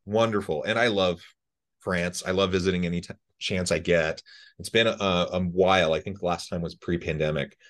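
A man's voice: low-pitched, fairly even intonation, moderate pace, fairly bright tone. A man hosting an interview podcast in a conversational style.

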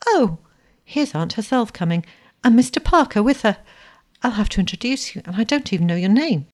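A woman's voice: medium pitch, animated, brisk, darkish tone, voice pushed up, natural voice. Woman reading as a spirited, rebellious young lady. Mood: flustered. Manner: frank, insistent.

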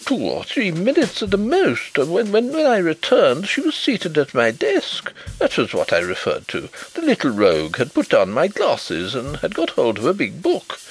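A man's voice: wildly swooping pitch, moderate pace, hardly any pauses, soft background music, rough recording. A man voicing a fussy, anxious male bank manager who stammers and frets, in a read-aloud story. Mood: flustered. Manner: agitated, stammering, high-strung.

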